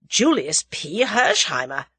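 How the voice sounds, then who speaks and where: A woman voicing a cheery, matter-of-fact young English man with a jaunty, brisk manner in a storybook. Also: lowish voice, very animated, sharp tone, breathy edge, no echo, heavily acted.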